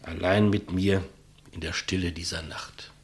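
An actor playing a humble, despised executioner in a 1950s German radio play, deferential, plain-spoken and reflective. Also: male voice, low voice, fairly even intonation, a little slow, breathy edge. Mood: calm, humble. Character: humble, despised old-school male executioner, quietly matter-of-fact. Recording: muffled vintage 1959 radio recording.

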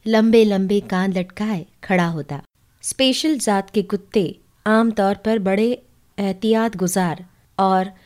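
A woman tells a literary story in the first person as a narrator. Her voice is mid-pitched and very mellow, with a soft dark warmth to the tone.

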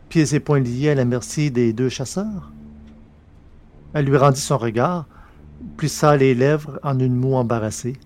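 A man narrating a science-fiction story from a script, in a measured, literary reading manner. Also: medium pitch, darkish tone, a little hiss or hum.

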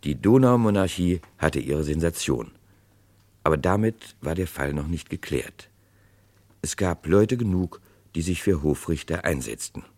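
Very deep, dry male crime narrator. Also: animated, a little slow, a little mellow, dry recording. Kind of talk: scripted radio crime play narration.

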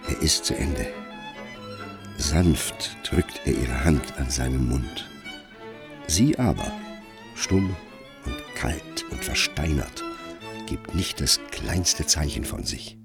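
A man narrating a literary radio play in a grave, measured and expressive manner. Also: rumbling low, gravelly, music in the background.

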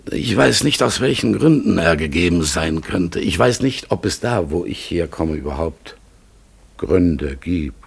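Husky, very low melancholy raven. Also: male voice, expressive, full character voice. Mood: longing, pensive. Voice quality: husky, gravelly, breathy. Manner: halting, pensive, solemn. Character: melancholy talking raven exiled among humans, searching, gentle. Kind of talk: German radio play acting.

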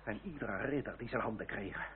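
An actor in a radio drama playing a bitter, haughty French marquis, formal and sardonic. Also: male voice, lowish voice, brisk, natural voice. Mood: bitter, scornful. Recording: muffled old radio play with faint hiss.

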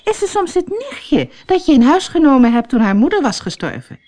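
A woman voicing an excitable, gossipy village woman who exclaims in a shrill, scandalized way. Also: fairly quick. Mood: shocked.

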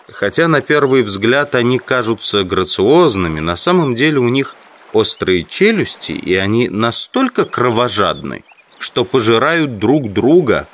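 A man narrating a literary audiobook in the first person, with measured, carefully phrased, expressive delivery. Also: medium pitch.

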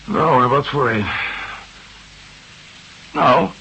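Confused groggy man, slow halting delivery, tense voice, muttering, radio play. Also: medium pitch, vocal fry, pushed and bright, full character voice, low-quality recording. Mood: bewildered, pained. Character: confused, groggy male ex-astronaut with a sore head. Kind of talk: Dutch radio play acting. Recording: old radio recording with light hiss.

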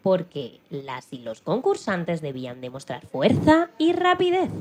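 A woman's voice: on the low side, sing-song, unhurried, a little mellow. A woman narrating a dramatised children's story as the storyteller, with faint noise on the recording.

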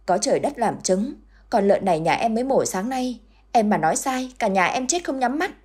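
A woman's voice: mid-range voice, fairly quick, mellow, breathy edge, pitched above the performer's own voice, natural voice. A woman voicing a tearful, frightened middle-aged village wife who pleads and stammers. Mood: pleading.

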